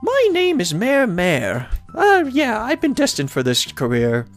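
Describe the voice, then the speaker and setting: A cheerful older mare mayor from a cartoon game , played by a man, greets in a very high voice. The manner is formal and welcoming, with music behind. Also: wildly swooping pitch, a little slow, exaggerated voice. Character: cheerful older female pony mayor, beaming.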